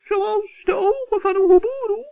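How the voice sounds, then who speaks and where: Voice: extremely high pitch, normal speed, creaky, no room sound, soft background music. A performer playing a small, naive, eager male gnome in a children's radio play, talking in an earnest, wondering way. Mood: nervous, eager. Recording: thin, narrow vintage radio recording.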